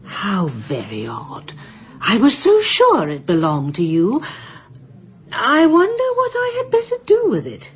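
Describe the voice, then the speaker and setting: A very husky-voiced, genteel English spinster takes her time over each precise word. She is a fussy, resourceful amateur sleuth in a radio play, heard through slightly muffled sound. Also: on the high side, huge pitch swings, unhurried, exaggerated voice. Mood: politely coy. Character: prim, resourceful, genteel spinster lady sleuth, faking seances. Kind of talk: British radio drama acting.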